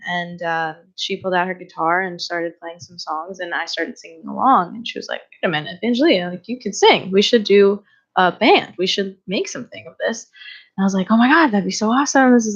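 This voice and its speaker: A woman's voice: on the low side, a bit bright. A woman in her twenties whose first language is Greek, chatting as a musician guest on a radio show, laughing often and using a lot of ums. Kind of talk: casual interview chat. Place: small radio studio in a church. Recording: slightly dull lo-fi radio sound.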